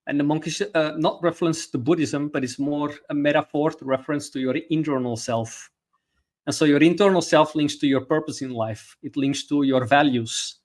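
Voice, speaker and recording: Man, middle-aged, mid-pitched voice, coach, explanatory interview talk. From Belgium. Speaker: podcast guest, leadership coach.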